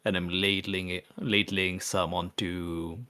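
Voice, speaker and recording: A man's voice: sits low, little pitch movement, slow pace, bright, forceful tone, clean tone. A man playing a tabletop game, tentatively describing his character's scene as he makes it up. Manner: tentative, piecing sentences together.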